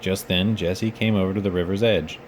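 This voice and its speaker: A man's voice: sits low, fairly even intonation, fairly quick, a little hiss or hum. A man reading a children's storybook aloud expressively, as if for bedtime. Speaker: storybook reader, children's read-aloud host.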